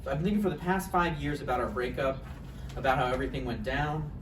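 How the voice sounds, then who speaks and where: A woman acting an insecure, needy aspiring writer in a live table read, halting, earnest and argumentative. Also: very deep voice. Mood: pleading, aggrieved. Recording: distant mic, echoey room, noisy.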